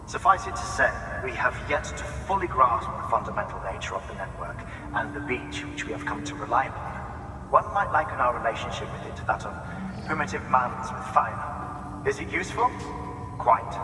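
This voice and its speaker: An actor playing a measured, guarded official in a video game, speaking with formal, pedantic deliberation. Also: male voice, medium pitch, lightly acted. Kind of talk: video game cutscene acting. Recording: echoey hall, distant, music behind.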